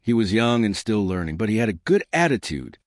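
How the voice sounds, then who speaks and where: A male narrator reads a scripted tale in a low voice. His delivery moves up and down with lively intonation. The sound is dry and close, with no room around it. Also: fairly quick, dry recording. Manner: lively storytelling, shifting pitch for quoted characters.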